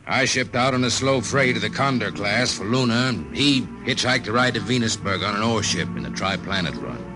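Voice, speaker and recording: A man's voice: mid-range voice, animated, brisk, music in the background, close to an everyday voice. An actor playing a gritty, wistful spaceman narrator in a hard-boiled 1950s radio drama. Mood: wry, reflective. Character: weathered spaceman narrator, gritty, wistful old shipmate of a singer. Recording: old 1950s radio broadcast, noisy.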